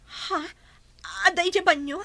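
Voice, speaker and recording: A woman's voice: very high voice, steady tone, moderate pace, bright, forceful tone, dry recording. An actress playing a worried, respectful young mother and servant in an Ilocano radio drama, with an Ilocano accent. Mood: alarmed, upset.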